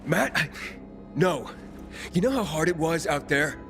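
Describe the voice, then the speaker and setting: A man's voice: on the high side, lively intonation, measured pace, vocal fry, a bit bright, soft background music, full character voice. An actor playing an impatient, weary young male survivor in a video game, blunt and sardonic. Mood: frustrated, angry. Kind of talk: cinematic game dialogue.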